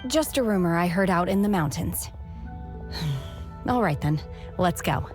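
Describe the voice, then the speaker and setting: A woman voicing a reserved, aloof young mystic in a video game, speaking calmly and formally in a measured way. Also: fairly low pitch. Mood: calm, detached. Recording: game music behind. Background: game music.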